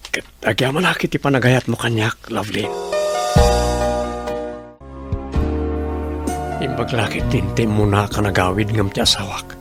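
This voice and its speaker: A man's voice: on the low side, brisk, loud music behind the voice, close to an everyday voice. A man with an Ilocano accent acting an evasive, sweet-talking unfaithful husband in a radio drama. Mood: tender.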